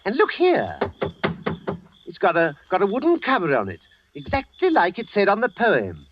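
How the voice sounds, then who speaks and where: An actor playing a fussy, timid gentleman's servant, prim and exclamatory, in an old-time radio mystery. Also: sits high, huge pitch swings, normal speed, noisy background. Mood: skeptical. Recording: muffled vintage radio recording.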